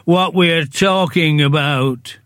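A man voicing a gloomy old male donkey who speaks slowly and mournfully, full of self-pity. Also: on the high side, a bit bright, dry recording, clean studio sound. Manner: slow, drawn-out, self-pitying.

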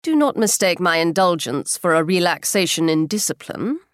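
A woman voicing a dry, wry older gentleman in an audiobook, speaking low and quietly. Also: sing-song, moderate pace, dry recording.

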